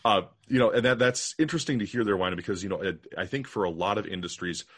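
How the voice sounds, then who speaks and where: A man's voice: on the low side, fast, fairly bright tone, husky. A man with an American accent hosting an interview in a casual, wordy and enthusiastic way. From United States. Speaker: podcast host.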